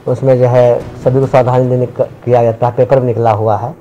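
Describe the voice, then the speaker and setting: A man's voice: medium pitch, level tone, a little fast, mellow, soft background music, rough recording. A man giving an interview statement in the manner of a local official.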